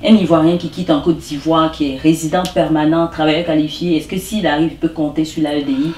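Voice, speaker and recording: A woman's voice: sits low, steady tone, brisk, a little mellow, slight background noise. A woman hosting a web show, asking questions attentively and backing the guest with short replies. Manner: attentive, frequent short backchannels. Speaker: host, interviewer. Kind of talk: interview questions. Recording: echoey room, mic at a distance.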